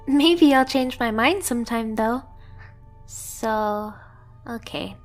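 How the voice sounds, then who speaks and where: A woman voicing a devoted, submissive young catgirl pet in an ASMR romance roleplay, tender, earnest and pleading. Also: fairly high pitch. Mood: shy, conceding.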